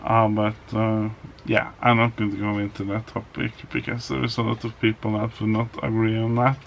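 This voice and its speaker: A man with a Norwegian accent, a native Norwegian speaker, talking hesitantly in a casual vlog with many pauses and restarts. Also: lowish voice, level tone, vocal fry, dull audio, slight background noise. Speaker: vlogger.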